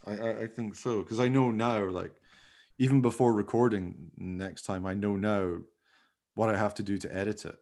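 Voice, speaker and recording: Guy with a lowish voice, casual podcast chat, kinda rambly and keen. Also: steady tone, normal speed, darkish tone. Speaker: podcast host and amateur radio play producer. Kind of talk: casual chat about making a radio play.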